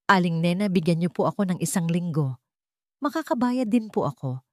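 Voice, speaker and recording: A woman's voice: on the low side, lively intonation, normal speed, dry recording, studio quality, lightly acted. Woman voicing a humble, pleading young housemaid. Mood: desperate. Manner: deferential, pleading.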